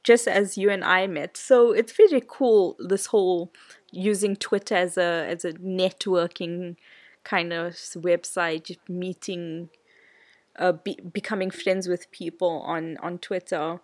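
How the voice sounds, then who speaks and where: A woman's voice: fairly low pitch, steady tone, a little slow, dry recording. A woman co-hosting a podcast, chatting in a friendly way and asking the guest questions. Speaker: podcast co-host. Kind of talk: casual podcast chat.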